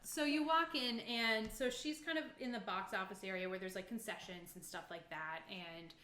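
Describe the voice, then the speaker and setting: A woman running a tabletop game as game master, describing scenes informally and haltingly, piece by piece. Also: mid-range voice, bright, forceful tone, slight background noise. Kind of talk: improvised tabletop game narration. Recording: roomy, echoing sound.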